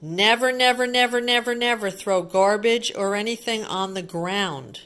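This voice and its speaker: A woman's voice: medium pitch, moderate pace, a bit bright, smooth. A woman reading a picture book aloud to young children like a teacher, explaining simply with repeated words and little questions. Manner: simple child-directed talk with repetition and questions. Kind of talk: read-aloud storytime with simple asides to children.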